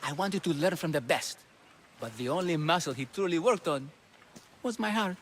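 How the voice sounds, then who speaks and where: A high-voiced, lovesick, melodramatic athlete. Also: male voice, animated, normal speed, a bit bright, slight background noise. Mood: dreamy, adoring. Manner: theatrical, gushing, dramatic. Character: lovesick melodramatic male ancient Greek athlete, fretful and smitten. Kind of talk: video game cutscene acting.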